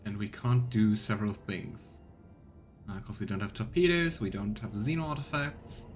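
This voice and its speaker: A man's voice: lowish voice, normal speed, faint music behind. A man giving casual let's play commentary as he streams a video game. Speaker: streamer playing a video game and voicing its text.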